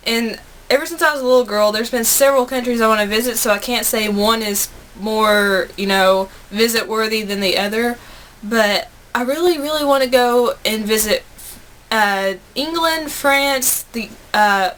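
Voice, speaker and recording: A woman in her twenties with an American accent speaks in a somewhat high voice. She chats casually to her viewers as a video blogger, earnest and a bit rambling. There is some noise in the homemade recording. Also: fairly bright tone. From United States. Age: 20s. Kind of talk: casual chat to camera.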